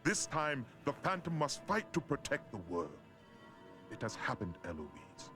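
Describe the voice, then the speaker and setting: Aging warrior guide for animated adventure: solemn, intense, lively intonation over score. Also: male voice, mid-range voice, unhurried, over music. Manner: solemn, portentous. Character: grave, urgent old warrior mentor.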